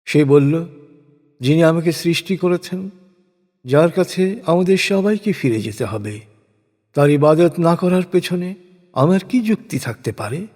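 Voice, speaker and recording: A man gives a solemn, scripted recitation of translated scripture in a measured, declamatory way. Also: sits high, a little mellow. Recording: long hall-like reverb.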